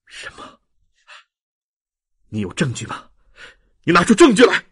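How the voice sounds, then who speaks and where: A narrator plays an earnest male baron from an audiobook novel. His delivery is passionate and declamatory, with hugely animated intonation and a husky edge. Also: medium pitch, a little slow, exaggerated voice. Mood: shocked, demanding. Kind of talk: audiobook character acting.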